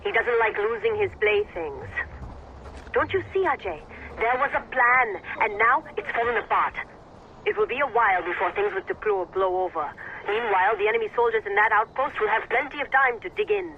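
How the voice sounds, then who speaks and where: A woman's voice: fairly high pitch, lively intonation, normal speed, lightly acted. An actress playing a stern, pragmatic female rebel leader in a video game, firm and reproachful. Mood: frustrated. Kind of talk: video game cutscene acting. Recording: dull band-limited radio-like sound, faint noise.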